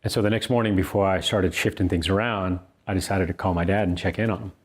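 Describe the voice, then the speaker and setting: Deep-voiced man telling a personal story. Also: steady tone, slightly rough. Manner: earnest, conversational, with thoughtful pauses. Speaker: author reading his own book.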